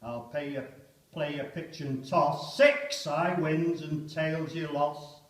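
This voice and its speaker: A man's voice: a little high, steady tone, slow. A man performing a dramatic poem as a stunned, fatalistic British soldier of the First World War waiting to attack. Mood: tense, defiant. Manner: dramatic declaiming with sudden loud shouted countdown calls. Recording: echoey church, distant microphone.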